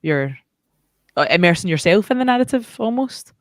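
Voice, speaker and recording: Low-pitched woman, agreeable and casual. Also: sing-song, normal speed, no room sound, clean studio sound. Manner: agreeable, supportive. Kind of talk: casual conversational responses.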